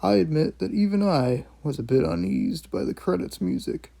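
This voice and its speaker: A man's voice: a little high, expressive, moderate pace, vocal fry, dark tone. A man hosting a video review, reading scripted commentary with some casual, profane asides.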